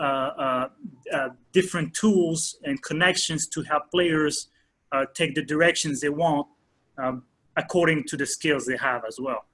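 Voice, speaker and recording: A man in his thirties from France, a soccer coach answering interview questions in a hesitant way with many pauses and fillers. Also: on the high side, a bit bright.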